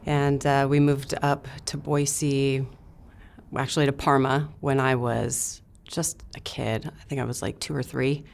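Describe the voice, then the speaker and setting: A woman's voice: extremely low pitch, flat delivery, measured pace, vocal fry. A young woman from Idaho, speaking plainly and matter-of-factly as an interview guest, with frequent filler words. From Idaho, USA. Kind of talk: candid interview recounting her past.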